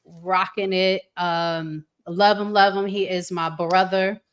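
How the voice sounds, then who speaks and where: Slow-paced American woman hosting. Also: fairly low pitch, steady tone, clean tone. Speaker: host. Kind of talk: casual relationship talk show hosting.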